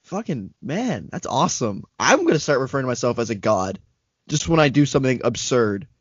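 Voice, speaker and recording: A young man in casual podcast chat, joking and laughing through quick riffs. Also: mid-range voice, very animated, husky, dry recording. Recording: dull lo-fi dry recording.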